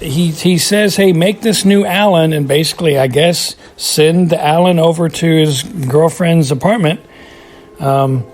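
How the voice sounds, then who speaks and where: A man giving his casual recorded thoughts on an episode for a podcast, rambling with many fillers and restarts. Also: a little high. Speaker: podcast contributor.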